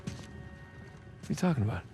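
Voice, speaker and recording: An actor playing an eager but wary male adventurer in a cinematic video game, thinking aloud in a hesitant, animated way. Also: measured pace, lightly acted. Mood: dawning realization. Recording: game dialogue under clear music.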